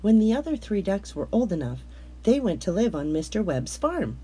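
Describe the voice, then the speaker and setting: Husky, mellow woman reading a storybook. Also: mid-range voice, big pitch swings, moderate pace. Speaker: children's storybook reader. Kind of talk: scripted read-aloud narration of a picture book.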